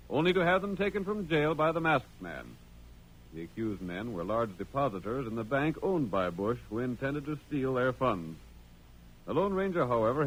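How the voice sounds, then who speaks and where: A man's voice: mid-range voice, slight background noise, rough recording. An American man narrating a radio western as announcer in a scripted old-time style.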